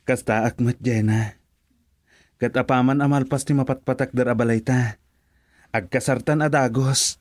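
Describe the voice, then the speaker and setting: Man acting earnest emotional leading man, medium pitch, Ilocano accent, radio romance. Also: steady tone, moderate pace, darkish tone, close to an everyday voice. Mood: tender.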